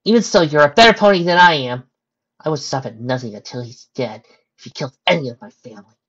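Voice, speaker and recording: Earnest young female pony, voiced by a woman, emphatic, very lively pitch, easily indignant, storybook reading. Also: quick pace, slightly rough, highs cut off. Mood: firm. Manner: emphatic, sincere.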